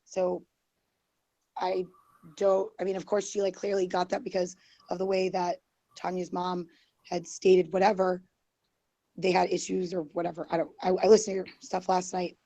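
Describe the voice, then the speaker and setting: A woman's voice: lowish voice, little pitch movement, normal speed, pauses often, dark tone, dry recording, lo-fi sound. A woman who is losing her voice, talking defensively and rambling with many fillers on a group call. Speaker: guest. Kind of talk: heated online group call.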